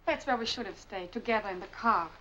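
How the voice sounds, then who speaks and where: An actress playing a devoted, earnest young French bride-to-be with a French accent in 1940s film style. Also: female voice, mid-range voice, fast talker, fairly bright tone, muffled recording, close to an everyday voice. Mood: wistful. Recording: noisy old film soundtrack.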